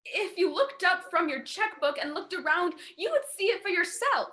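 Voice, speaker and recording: Woman as proper earnest charity lady in a radio play, forthright, high bright voice. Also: very animated, normal speed, exaggerated voice. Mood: indignant. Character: earnest lady charity collector, easily indignant.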